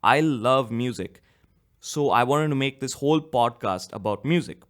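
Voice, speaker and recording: A man's voice: medium pitch, lively intonation, normal speed, clean tone. Man in his twenties, podcast host, slight cough, rambling, self-correcting, casual. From India. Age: 20s. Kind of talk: casual solo podcast talk.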